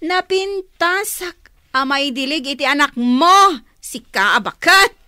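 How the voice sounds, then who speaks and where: An actress playing an insolent, taunting young wife in an Ilocano radio drama, with an Ilocano accent. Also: female voice, high voice, lively intonation, takes it slowly, bright, forceful tone, no echo, clean studio sound, full character voice. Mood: mocking.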